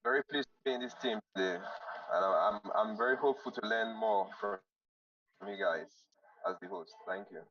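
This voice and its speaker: A man's voice: on the low side, sing-song, fairly quick, vocal fry, no echo. A man from Nigeria hesitantly introducing himself on a video call, repeating words as he goes. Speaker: panel team member. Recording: distant muffled video call audio.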